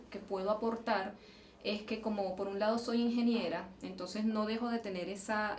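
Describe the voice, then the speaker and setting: A Venezuelan woman, a geological engineer, answering interview questions in a thoughtful way, often restarting and repeating words. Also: medium pitch. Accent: Venezuelan Spanish. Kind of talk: conversational interview. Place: event venue, indoors. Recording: roomy, distant mic, faint noise.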